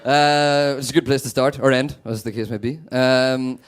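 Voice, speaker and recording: A young man from Northern Ireland with a Northern Irish accent, performing a stand-up set with a sweary, deadpan manner. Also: medium pitch, normal speed, clear, resonant tone. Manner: sweary, animated, deadpan asides. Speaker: stand-up comedian.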